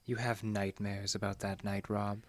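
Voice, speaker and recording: A man's voice: lowish voice, little pitch movement, moderate pace, slight background noise, lightly acted. A man playing a suave, sinister vampire in a horror audio drama, formal and teasing in manner. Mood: probing. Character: suave, sinister male vampire posing as curious stranger.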